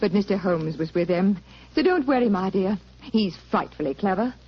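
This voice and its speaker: Chatty reassuring british wife, bit husky, very singsong, old radio play. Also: female voice, medium pitch, expressive, normal speed. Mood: breezy, soothing. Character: cheerful, unflappable, motherly doctor's wife. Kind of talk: 1940s radio drama acting. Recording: muffled 1940s radio recording.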